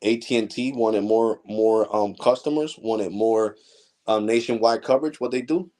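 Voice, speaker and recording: A man in his forties rants to his livestream audience as a tech channel host. He is blunt and heated, swearing freely as he lectures viewers, and his voice sits a little low. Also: moderate pace, mellow. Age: 40s. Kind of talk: casual ranting livestream monologue.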